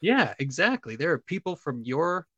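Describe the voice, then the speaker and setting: A man's voice: on the high side, very animated, normal speed, bright, forceful tone, no echo, highs cut off. A man co-hosting a podcast, speaking earnestly and gratefully. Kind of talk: casual podcast chat.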